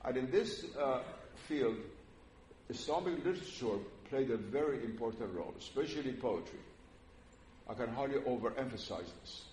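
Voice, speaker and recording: A man's voice: medium pitch, lively intonation, a little mellow. Older man lecturing in a hall. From Iran. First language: Persian. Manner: measured and earnest, frequent "uh" pauses. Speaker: religious lecturer. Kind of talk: public lecture to an audience. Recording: roomy hall with faint noise.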